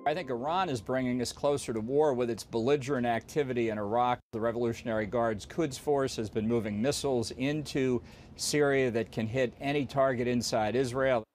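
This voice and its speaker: A man's voice: mid-range voice, steady tone, fairly quick, a little mellow, soft background music. A man giving his commentary as an interviewee in a news clip.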